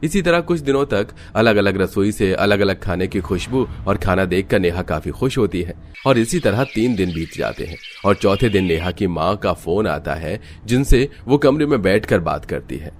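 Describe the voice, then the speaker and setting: Male narrator, low pitch, cartoon family tale, noticeable background noise. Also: animated, moderate pace, hardly any pauses. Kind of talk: scripted cartoon story narration.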